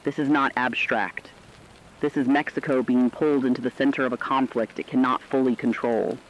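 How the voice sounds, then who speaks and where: A man's voice: medium pitch, steady tone, normal speed, lightly acted, rough recording. A man playing a serious radio correspondent, giving a scripted emergency war report with formal, emphatic, clipped phrasing. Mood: serious, urgent.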